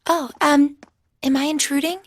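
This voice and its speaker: A woman's voice: sits high, fairly even intonation, moderate pace, no echo, clean studio sound, close to an everyday voice. An actress playing a shy, kindly teenage girl student in a video game, polite and hesitant. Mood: flustered. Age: young adult. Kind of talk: English anime-style game voice acting.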